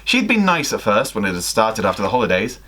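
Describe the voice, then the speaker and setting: A man's voice: lowish voice, sing-song, quick pace, pushed and bright. A young man reading a story aloud expressively, with dramatic emphasis and the odd self-correction. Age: young adult. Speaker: guest reader on a livestream. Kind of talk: expressive fan fiction story reading with casual asides. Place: small home room with sloped ceiling.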